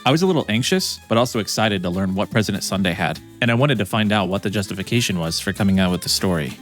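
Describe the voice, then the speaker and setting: Fast-talking man, low voice, confessional. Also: lively intonation. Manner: reflective, conflicted confession. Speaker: video editor. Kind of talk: first-person scripted narration. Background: soft guitar music.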